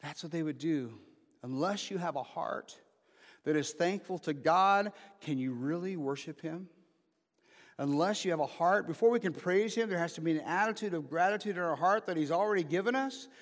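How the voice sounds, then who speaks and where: A man's voice: fairly high pitch, normal speed. A man in his fifties preaching an informal sermon as pastor, mixing joking asides with emphatic calls to the congregation. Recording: preached in a reverberant hall.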